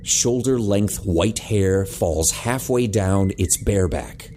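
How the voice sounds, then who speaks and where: Slow, deep, dramatic male narrator. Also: warm tone, slight background noise. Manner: measured, dramatic. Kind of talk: scripted audio drama narration.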